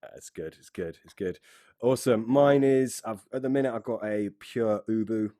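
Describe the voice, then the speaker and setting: A man's voice: on the low side, moderate pace, mellow, no room sound, clean studio sound. A British man hosting a casual interview in a matey, informal way. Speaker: podcast host.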